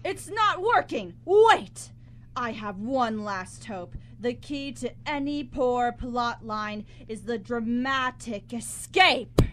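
A woman's voice: a little high, lively intonation, takes it slowly, a bit bright, a little hiss or hum. A young woman playing a bossy, smug writer in a live student radio comedy, with a theatrical, pompous delivery. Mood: panicked. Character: bossy, smug, scheming writer turning desperate. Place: college radio studio, live broadcast.

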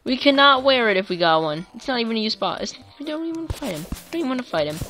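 A woman casually commentating her own gameplay for viewers, chatty and quick to get excited. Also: fairly high pitch, soft background music. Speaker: gaming YouTuber. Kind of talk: let's play commentary.

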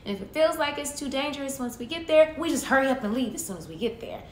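A woman's voice: expressive, quick pace. A woman voicing an adventurous goat in a story, polite and hesitant, in an echoey room. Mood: coaxing. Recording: echoey room with faint rumbly noise.

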